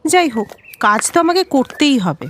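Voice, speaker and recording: A woman's voice: sits high, very animated, moderate pace, a little hiss or hum. A woman voicing a devoted, determined young village wife and mother in a cartoon story. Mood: earnest, resolute.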